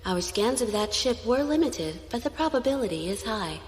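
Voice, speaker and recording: A woman's voice: a little high, lively intonation, moderate pace, close to an everyday voice. A woman playing a dutiful squire who gives precise, formal sensor reports in a science fiction audio drama. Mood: clinical, formal. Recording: echoey, long reverb on voice.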